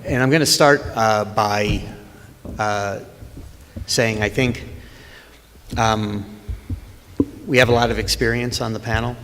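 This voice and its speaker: A man moderating an industry panel, talking in a halting way with many fillers. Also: fairly low pitch, steady tone, a bit bright. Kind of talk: conference panel talk. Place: conference hall. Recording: reverberant hall, faint room noise.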